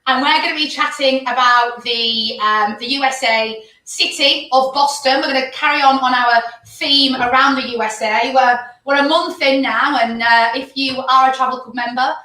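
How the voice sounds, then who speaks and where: Bright, high-voiced woman hosting. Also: fairly even intonation, moderate pace, hardly any pauses, reverberant, far from the mic, rough recording. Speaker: host. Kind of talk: live online show chat.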